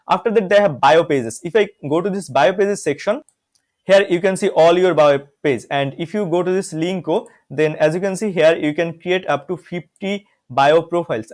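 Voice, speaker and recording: A man's voice: fairly high pitch, a little mellow. A young man from Bangladesh recording a screen tutorial, walking through each step with frequent "guys" and "see". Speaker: software reviewer.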